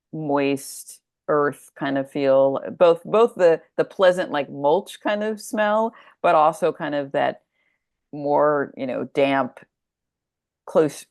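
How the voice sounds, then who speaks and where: A woman speaking as a guest author in a casual, reflective interview, haltingly thinking aloud and repeating words. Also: low-pitched, creaky, a little mellow, no echo, studio quality.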